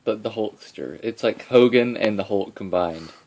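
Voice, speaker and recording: A man's voice: fairly low pitch, normal speed, a little mellow. A man chiming in with casual jokes while a friend tells a story. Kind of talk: casual joking interjections. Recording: noisy home recording with clicks.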